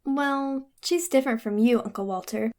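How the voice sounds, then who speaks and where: A woman's voice: on the high side, normal speed, darkish tone, close to an everyday voice. A woman playing a bitter, scheming teenage girl in a melodramatic old-time radio suspense play, with music under her. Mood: feigned innocence. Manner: melodramatic, resentful. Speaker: narrating lead in a radio melodrama.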